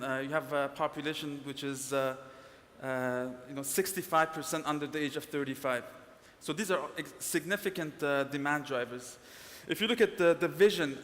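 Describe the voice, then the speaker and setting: A man's voice: a little high, fairly bright tone. A man giving a conference panel presentation, hesitant, with many fillers and restarts. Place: conference hall. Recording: long reverb in a conference hall.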